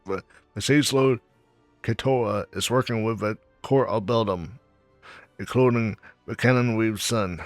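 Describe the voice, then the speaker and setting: A man doing a let's play, reading game dialogue aloud somewhat haltingly. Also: lowish voice, dry recording, soft background music. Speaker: gamer reading the player character's lines.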